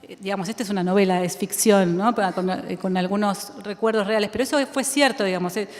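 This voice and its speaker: Escritora argentina pensando en voz alta. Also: female voice, medium pitch, fast talker. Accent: Argentine Spanish. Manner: thinks aloud with many fillers and restarts, laughs often. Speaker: writer in a public conversation. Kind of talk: reflective conversational talk. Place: school auditorium with audience. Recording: reverberant hall.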